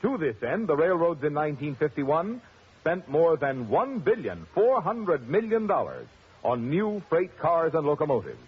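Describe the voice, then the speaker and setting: A man reading a formal, earnest radio announcement in a measured, stately delivery. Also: fairly high pitch, sing-song, breathy edge. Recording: muffled 1950s radio broadcast.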